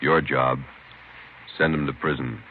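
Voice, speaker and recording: A man's voice: a bass voice, steady tone, unhurried, a little hiss or hum. A man reading a solemn, terse, portentous introduction in old-time radio style. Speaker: narrating announcer. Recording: muffled vintage radio broadcast with music.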